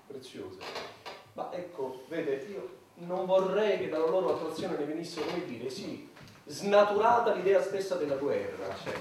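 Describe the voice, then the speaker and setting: An actor playing a wordy, self-important head of government in a satirical radio play, speechifying in a pompous, rhetorical way. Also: male voice, on the high side, expressive, unhurried, a crowd in the background. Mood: condescending. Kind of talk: satirical stage radio drama. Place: large echoey room, recorded from afar. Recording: distant, very echoey hall, faint noise.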